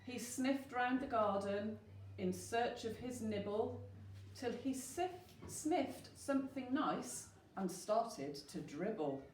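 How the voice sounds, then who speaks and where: A woman reading a rhyming children's story aloud, expressive and putting questions to the listeners. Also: on the high side, takes it slowly. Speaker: storyteller at a school. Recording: roomy, distant mic with faint noise.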